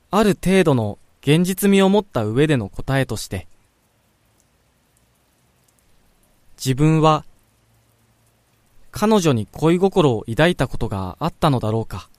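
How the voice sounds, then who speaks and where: A man's voice: a little high, no room sound. Man acting a pensive young man, dry close sound. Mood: wistful doubt. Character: pensive young man quietly reflecting on a childhood friend. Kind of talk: radio drama monologue and narration.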